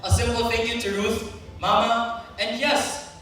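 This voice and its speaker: A man's voice: very high-pitched, level tone, a little slow, pushed and bright, exaggerated voice. A teenage boy acting a frustrated, pushy older brother on a school stage, needling and resentful. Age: teens. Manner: needling, drawls when annoyed. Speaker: student actor. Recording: echoey hall, far from the mic, noisy.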